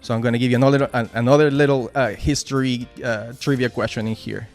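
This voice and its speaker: A man with a Spanish accent speaks at an ordinary mid pitch, leading a team meeting over a video call. He talks in halting bursts, repeating words and tagging "right?" onto his points. Faint music plays underneath. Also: steady tone, vocal fry. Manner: halting, many restarts and "right?" tags. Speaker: team lead giving a motivational talk. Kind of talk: informal motivational talk at a work meeting.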